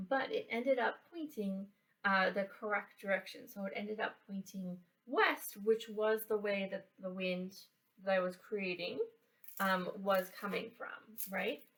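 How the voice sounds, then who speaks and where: A woman giving a casual how-to science and craft demonstration for children, explaining in a halting way and checking in as she goes. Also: medium pitch, fairly bright tone, distant mic, poor audio. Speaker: library children's program presenter. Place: indoors, in a library building.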